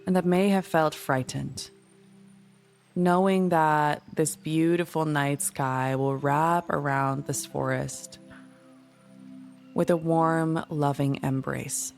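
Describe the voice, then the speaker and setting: A woman leading a guided meditation in a soothing, gentle, unhurried manner. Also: low-pitched, steady tone, gravelly. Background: music.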